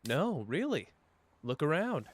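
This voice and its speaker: A man doing a slow, flirtatious charmer. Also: fairly high pitch, sing-song, heavily acted.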